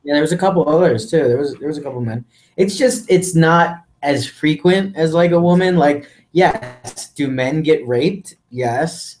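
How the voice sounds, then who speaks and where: A man's voice: a little high, moderate pace, a little mellow. A man co-hosting a podcast in casual, joking conversation. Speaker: podcast co-host. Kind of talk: casual chat.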